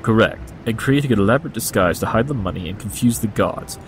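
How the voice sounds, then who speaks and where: An actor playing a brilliant, smug pony detective, speaking in a measured, condescending manner in an audio drama. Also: male voice, lowish voice, animated, slight background noise. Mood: analytical.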